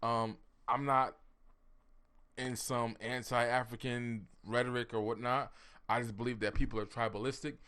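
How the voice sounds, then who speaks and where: A man's voice: medium pitch, level tone, moderate pace, pushed and bright, clean tone. A man in his middle register talks straight to his audience, blunt and sure of his opinions. His delivery is plain and direct, without polish. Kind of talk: commentary.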